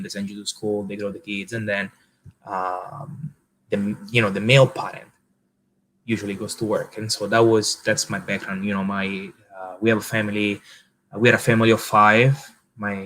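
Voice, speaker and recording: A young man in his twenties speaks with a clear Italian accent in a low, fairly monotone voice. He tells his story haltingly, full of pauses and restarts, as a guest in a relaxed interview. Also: rough recording. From Italy. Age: 20s. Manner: hesitant, many fillers and restarts. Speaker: podcast guest, entrepreneur.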